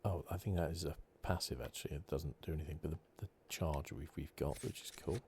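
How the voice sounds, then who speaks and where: Very deep, hushed man. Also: quick pace, slight background noise. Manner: soft-spoken, hushed, drifting into whispers. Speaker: gaming channel host. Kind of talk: ASMR video game playthrough commentary.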